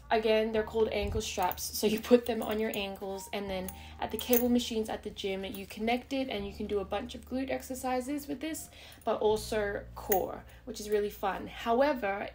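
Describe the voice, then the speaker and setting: A young woman in her twenties chatting casually in a show-and-tell fitness vlog at home, upbeat, with small laughs and loose asides. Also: mid-range voice. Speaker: fitness vlogger. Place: bedroom at home, indoors.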